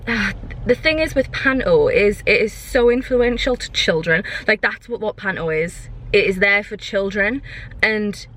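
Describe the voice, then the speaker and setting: A woman's voice: medium pitch, expressive, unhurried, fairly bright tone. A young woman chatting casually for a vlog, airing her opinions in an exasperated, emphatic way and repeating herself. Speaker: vlogger.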